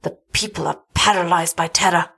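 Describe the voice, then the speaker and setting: Woman voicing an anguished, despairing older gentleman. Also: sits high, fairly even intonation, fairly quick, vocal fry, breathy edge, heavily acted. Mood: weary, bleak. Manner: formal, melodramatic, weary speech. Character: anguished, despairing older gentleman, village husband haunted by horror.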